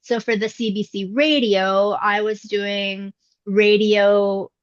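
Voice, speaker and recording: A middle-aged woman with a young-sounding voice, talking about her acting career in a relaxed, reflective interview with frequent fillers. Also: medium pitch, takes it slowly, no echo, lo-fi sound. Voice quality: youthful-sounding voice. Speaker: voice actress, interview guest. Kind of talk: casual interview answers. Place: indoors at her mother's home.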